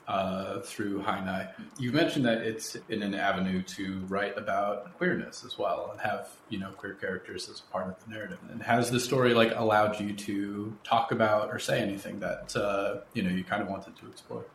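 A man's voice: lowish voice, moderate pace, few pauses. A man hosting an interview, asking conversational questions in thoughtful, slightly hesitant phrasing. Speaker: podcast interviewer and host. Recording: roomy echo, clear background noise.

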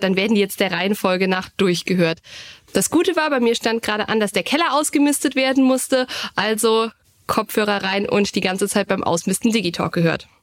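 A woman co-hosting a podcast in casual conversation. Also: fairly high pitch. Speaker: podcast co-host.

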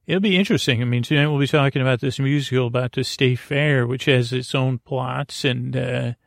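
Creaky-voiced man rambling a bedtime podcast. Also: mid-range voice, steady tone, fairly quick, no echo, clean studio sound. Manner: meandering, hesitant, reassuring, gently goofy. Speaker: podcast host. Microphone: close to the microphone.

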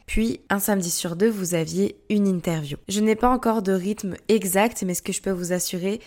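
A young woman, a student, hosting her own podcast and talking to listeners in a steady, explanatory way. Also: fairly low pitch. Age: young adult. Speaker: podcast host. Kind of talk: casual podcast monologue.

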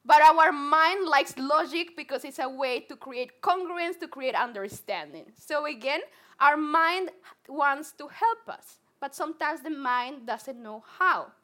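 A young woman from Colombia with a Colombian Spanish accent, a psychologist giving an earnest, animated public talk with frequent restarts. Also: high-pitched, unhurried. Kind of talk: public talk to a live audience.

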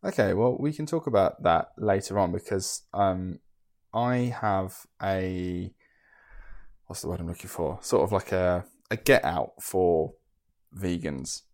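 A man's voice: low voice, a little slow, a little mellow. A man co-hosting a podcast, chatting casually and laughing often at himself. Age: 20s. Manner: laughs often, self-deprecating. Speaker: podcast co-host. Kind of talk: casual chat.

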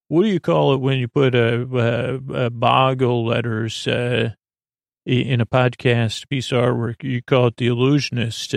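Creaky guy doing a sleepy-time podcast, rambles and mumbles, soothing, really dry close sound. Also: medium pitch, no echo. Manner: meandering, mumbling, stumbling over words, trailing into tangents; soothing, lulling delivery. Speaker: podcast host. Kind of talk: rambling, improvised bedtime podcast monologue.

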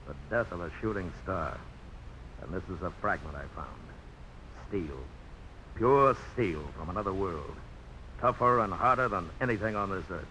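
An actor playing a proud, visionary frontier blacksmith with a folksy, dramatic storytelling delivery in a 1950s radio drama. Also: male voice, deep voice, animated, moderate pace, pushed and bright. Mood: awed, intense. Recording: noisy old radio broadcast.

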